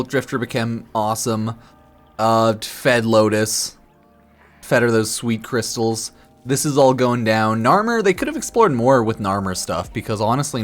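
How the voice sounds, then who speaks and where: Tipsy guy streaming, blunt sweary rambling, repeats stuff, bit of music behind him. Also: fairly low pitch. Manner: repeats himself for emphasis, tags lines with "right?". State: a bit drunk. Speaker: game streamer. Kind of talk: casual spoiler commentary from a livestream.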